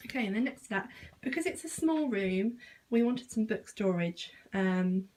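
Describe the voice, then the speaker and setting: A woman's voice: medium pitch, expressive, moderate pace, a little mellow. A young British woman giving a casual, self-deprecating home tour in an unpolished, informal way. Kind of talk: casual informal chat to camera.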